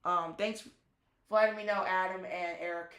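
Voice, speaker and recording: A ham radio operator hosting a live stream, chatting casually in halting, self-correcting sentences and calling out a call sign in phonetic letters. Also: medium pitch, fairly even intonation, sharp tone.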